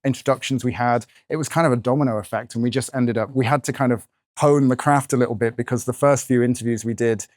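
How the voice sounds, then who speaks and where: A man with a slightly croaky voice answers interview questions in a loose, chatty way, full of "um" and "kind of." His pitch sits in an ordinary middle range. The sound is dry and close. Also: steady tone, fast talker, a little mellow, no echo. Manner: chatty, with many fillers and hedges. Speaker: podcast co-host and author being interviewed. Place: bookshop event, in front of an audience.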